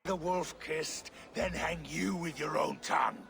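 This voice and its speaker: A brutish game villain, a warlord out for revenge. His high male voice is gravelly and creaky, drawing out each taunt slowly, with music underneath. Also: fairly even intonation, noisy background. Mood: furious, mocking. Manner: menacing, drawn-out insults. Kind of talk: video game cutscene acting.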